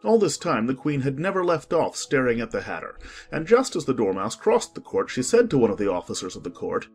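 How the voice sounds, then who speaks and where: A man narrating a dramatized audiobook with expressive storytelling. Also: mid-range voice, fairly quick, husky, faint music behind.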